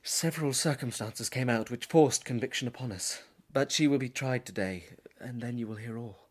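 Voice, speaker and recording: An earnest younger brother acted by a man in a literary audio drama. He speaks formally and sorrowfully, at a middling pitch. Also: moderate pace, close to an everyday voice.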